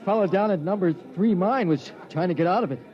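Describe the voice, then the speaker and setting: A man's voice: high voice, fairly quick, close to an everyday voice. An American man playing a jittery, good-natured, folksy miner in 1950s radio drama style. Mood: nervous, amused. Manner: folksy, giggly. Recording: muffled old radio recording, crowd behind.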